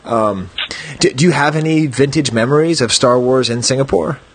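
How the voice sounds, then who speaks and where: A man's voice: mid-range voice, normal speed, husky. A man from the United States hosting a podcast, chatting casually in a rambling, self-deprecating way with frequent chuckles. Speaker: podcast host.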